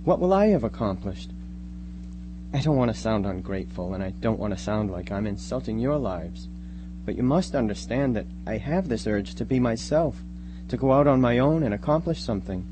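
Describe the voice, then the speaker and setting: A man's voice: medium pitch, expressive, moderate pace, background noise, natural voice. A man playing an anxious, earnest young son in a live radio drama. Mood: worried, earnest. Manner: earnest, worried.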